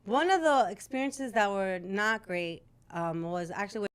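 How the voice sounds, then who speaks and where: A woman's voice: medium pitch, normal speed, clear, resonant tone. A woman who works in radio, speaking candidly and casually as a podcast guest. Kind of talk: casual candid interview talk.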